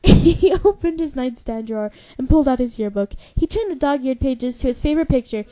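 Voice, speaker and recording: A young woman narrates a novel aloud in an informal, amateur way. Her voice is high, and she is giggly, often stumbling and correcting herself. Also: expressive, brisk, warm tone. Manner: giggly, stumbles over words and self-corrects. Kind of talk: informal dramatic reading of a novel.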